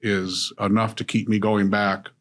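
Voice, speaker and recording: Low-voiced middle-aged male business author. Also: unhurried. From Illinois. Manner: steady, reflective, with fillers. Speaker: guest, author and business coach. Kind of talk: conversational interview.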